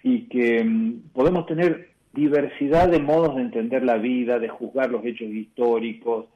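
A man with an Argentine accent, a bishop answering questions in a radio interview, measured and reflective, often pausing and restarting. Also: medium pitch, steady tone, highs cut off. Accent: Argentine Spanish.

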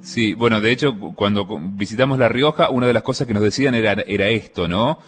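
Hesitant radio host. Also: male voice, lowish voice, a little hiss or hum. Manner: halting, many "eh" and restarts. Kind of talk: radio interview.

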